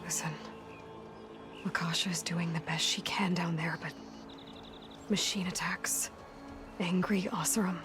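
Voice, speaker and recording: Game lead, female actor: steady young huntress, slightly low register, compassionate but blunt, score playing underneath. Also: a little slow, pauses often, close to an everyday voice. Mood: wry concern. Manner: frank, reasoning, understated. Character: pragmatic, steady young heroine, wry and compassionate warrior. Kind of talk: video game cutscene acting. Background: music.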